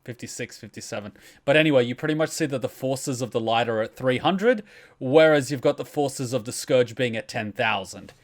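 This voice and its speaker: A man's voice: medium pitch, expressive, fairly quick. A man hosting a game reaction video, explaining step by step in casual, conversational commentary.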